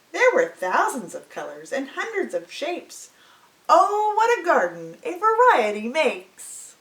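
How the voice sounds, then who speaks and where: A woman reads a picture book aloud for children with very lively, swooping intonation. She takes her time, drawing out words in a dramatic storyteller's way. The room around her voice is clearly echoey. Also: on the high side.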